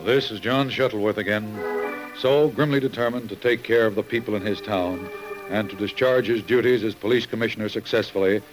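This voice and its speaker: Male radio mystery narrator over loud music. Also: fairly low pitch, fairly even intonation, brisk, rough recording. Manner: formal, dramatic. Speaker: narrator and host.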